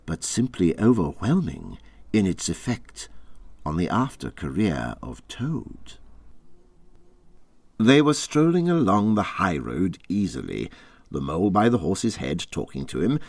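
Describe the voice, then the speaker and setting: A man narrating a classic storybook as an audiobook reader, dramatically and with deliberate, expressive phrasing. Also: lowish voice, dry recording.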